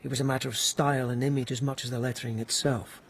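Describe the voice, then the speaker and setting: An actor playing a weary but dogged police lieutenant in a radio period mystery, speaking hesitantly and with earnest respect. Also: male voice, medium pitch, little pitch movement, quick pace, vocal fry, breathy edge. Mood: deferential, uneasy.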